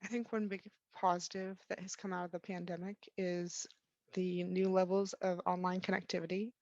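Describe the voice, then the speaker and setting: A woman in her twenties speaking in a measured, articulate way, as a student giving a reflective interview. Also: on the low side, no room sound, muffled recording. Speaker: university student.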